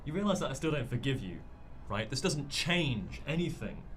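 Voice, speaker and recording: A man's voice: medium pitch, big pitch swings, normal speed, a bit bright, a little hiss or hum. A man in a radio drama playing a bitter, unforgiving, wronged man with a curt, accusing manner. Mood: accusing, resigned.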